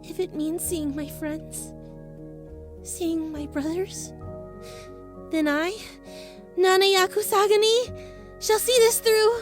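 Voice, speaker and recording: Woman as ghostly formal young girl, high voice, deliberate archaic phrasing, audiobook drama, music behind. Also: steady tone. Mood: solemn, resolute.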